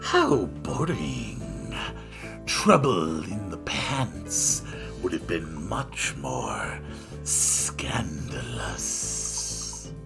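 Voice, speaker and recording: A man voicing an odd, blunt teenage girl in a visual novel, with a breathy, slithery half-whisper and drawn-out deadpan delivery. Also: rumbling low, music in the background, voice pushed down, exaggerated voice. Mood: bored disappointment.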